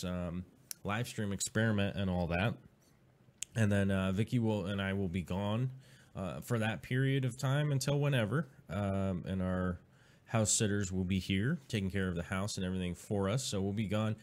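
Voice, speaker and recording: Low-voiced guy rambling on his live stream, lots of ums and uhs. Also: darkish tone. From Pacific Northwest, USA. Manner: rambling, many fillers and restarts. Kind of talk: casual live stream chat.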